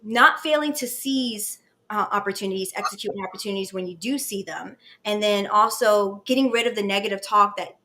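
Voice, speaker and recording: A woman's voice: medium pitch, a little fast, noisy background. A woman in her late twenties hosting a casual podcast chat in a candid, personal way. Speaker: podcast host.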